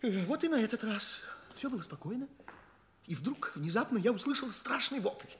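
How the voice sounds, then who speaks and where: A man's voice: very high-pitched, lively intonation, normal speed, a bit bright, a little hiss or hum. An actor playing a flustered, talkative male journalist in a radio play, rambling and stammering with fussy politeness as a shaken witness. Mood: agitated, dramatic. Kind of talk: Russian radio play acting.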